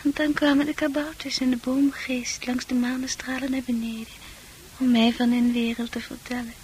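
Casting: woman to play dreamy, troubled young female confidante for Dutch radio drama, high-pitched, poetic and earnest. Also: little pitch movement, moderate pace, darkish tone, a little hiss or hum, lightly acted. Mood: wistful, confiding.

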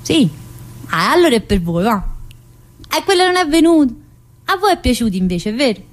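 A woman's voice: high-pitched, very animated, fairly quick. A woman performing a theatrical monologue as a chatty, bossy, needy prisoner, with a colloquial, teasing manner. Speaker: actor in a solo stage monologue.

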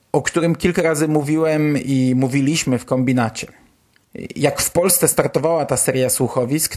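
A Polish man presents podcast news in a medium-pitched voice. He talks casually and colloquially, like someone chatting to regular listeners, with the odd mild swear word. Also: a little mellow. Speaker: podcast host.

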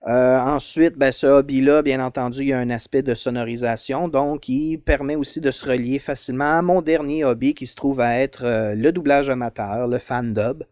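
A man's voice: medium pitch, fairly even intonation, normal speed, hardly any pauses, darkish tone, no room sound. Hesitant Québécois man, dry close sound. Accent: Quebec French. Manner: hesitant, many fillers. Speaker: podcast guest. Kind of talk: casual interview chat.

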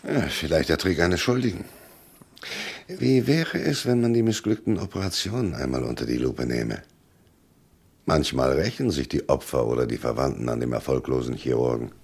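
Very deep, gravelly police commissioner. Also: male voice, lively intonation, darkish tone, full character voice. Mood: probing. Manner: measured, dry questioning. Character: dry, unhurried male Paris police commissioner investigating a murder. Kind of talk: German crime radio play acting.

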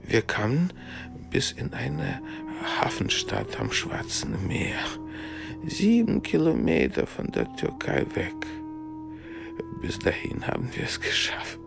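A reminiscing émigré in a radio play, with a heavily creaking voice. He speaks very slowly and haltingly, his pitch swinging widely, with music underneath. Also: wildly swooping pitch, heavily acted. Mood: bitter recollection. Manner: halting, with long pauses. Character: halting male émigré recalling a failed escape from the Soviet Union. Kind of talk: radio drama acting, slow recollection.

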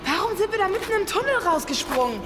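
A woman's voice: extremely high pitch, big pitch swings, quick pace, noisy background. An actress playing a daring, driven young backpacker woman in a radio play, bossy, urging and slangy. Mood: impatient, determined. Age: young adult.

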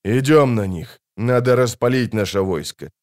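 Man acting a grim, gruff monster hunter. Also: medium pitch, expressive, normal speed, darkish tone, close to an everyday voice. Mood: grim, terse. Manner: muttering through clenched teeth.

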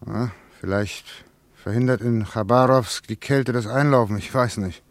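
A man playing a reflective working man in a German radio play, musing in halting phrases. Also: mid-range voice, no echo, natural voice. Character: Ludwig, a reflective working man returned to his childhood town.

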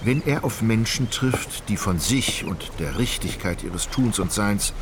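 A man's voice: fairly low pitch, expressive, a little slow, background noise. A man narrating a literary radio play in an articulate, ironic storytelling manner.

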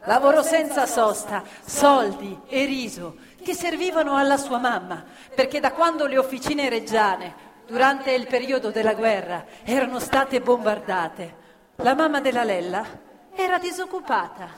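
A woman narrating as a stage actor in radio theatre, deliberate and playfully emphatic. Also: high voice, animated, crowd noise. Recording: reverberant hall with live music behind.

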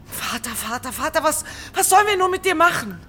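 A woman's voice: high-pitched, lively intonation, brisk, bright, forceful tone, slight background noise. A woman playing an exasperated, agitated grown daughter in a radio drama, scolding and incredulous. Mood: angry, exasperated. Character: exasperated, hectoring grown daughter, near hysterical.

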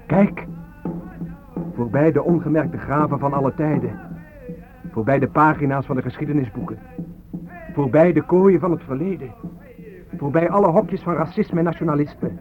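A man's voice: a little high, moderate pace, long pauses, natural voice. Man acting a defiant young student, phone-like radio sound. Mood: insistent. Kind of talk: Dutch radio drama acting, declaimed monologue and dialogue. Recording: phone-like old radio play, music behind.

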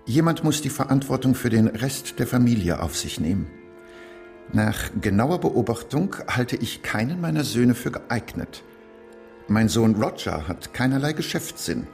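An actor playing a stern old family patriarch solemnly delivering his testament in a radio play, measured and creaky. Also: mid-range voice, darkish tone, lightly acted. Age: older.

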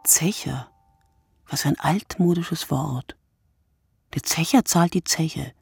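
Radio play narrator, husky and lowish, musing in deliberate poetic fragments, very dry sound. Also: female voice, darkish tone, no echo, full character voice. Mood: musing, wry. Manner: deliberate, fragmented phrasing, dwelling on words. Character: reflective woman narrator musing poetically on night-time drinkers. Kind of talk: literary radio play monologue.

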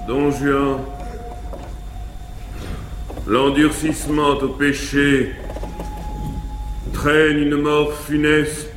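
Stone commander's ghost, classical stage drama: solemn, ceremonious, extremely drawn-out delivery, echoey vintage live recording. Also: male voice, fairly high pitch, level tone. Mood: solemn menace. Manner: grave, ceremonious, long pauses between phrases. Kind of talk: classical French theatre declamation. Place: large theatre stage before an audience. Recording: echoey 1950s live theatre recording, noisy.

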